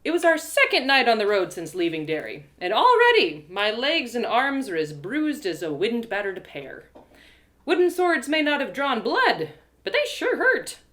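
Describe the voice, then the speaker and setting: A woman narrating a scripted fantasy tale in the first person, with expressive, dramatic storytelling. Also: fairly high pitch, moderate pace.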